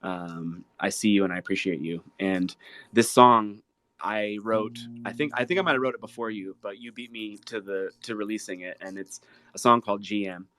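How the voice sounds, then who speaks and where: An American man, a singer-songwriter guest, talking casually and from the heart in an earnest, effusive, halting way. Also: fairly low pitch, fairly bright tone. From Ohio, United States. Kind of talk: casual heartfelt chat between live songs.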